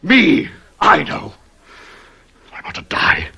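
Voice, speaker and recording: An actor playing a proud, jealous husband in a radio drama, melodramatic and anguished. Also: male voice, fairly high pitch, wildly swooping pitch, slow, gravelly, pushed and bright, slightly rough, exaggerated voice. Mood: wounded rage.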